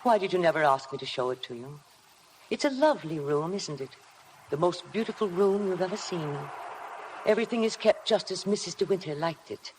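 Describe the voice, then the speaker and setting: An actress playing a sinister, obsessive housekeeper with an insinuating, deliberate, servile manner in 1950s radio drama style. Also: female voice, on the low side, animated, close to an everyday voice. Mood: insinuating, reverent. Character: sinister, obsessive housekeeper, coldly devoted to dead mistress. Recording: noisy vintage 1950 radio broadcast.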